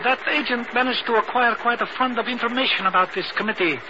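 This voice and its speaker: An actor playing a shady, mercenary informant with a florid, precise, courtly manner, in 1950s radio drama style. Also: male voice, extremely high pitch, fast talker. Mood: smooth, mercenary. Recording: muffled, noisy old radio recording.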